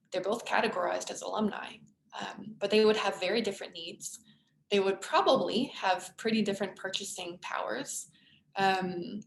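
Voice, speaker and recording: A woman runs an online workshop, her voice carrying a gravelly fry. She talks things through thoughtfully, with plenty of ums and restarts. The video-call audio is roomy and echoey. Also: mid-range voice, slight background noise. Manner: thoughtful, many fillers and restarts. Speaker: workshop facilitator.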